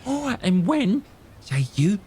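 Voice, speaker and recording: A man's voice: sits high, very animated, takes it slowly, a little mellow, slight background noise, heavily acted. An actor playing a half-crazed old male castaway who stammers, rambles and repeats himself. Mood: wheedling. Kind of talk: radio drama acting.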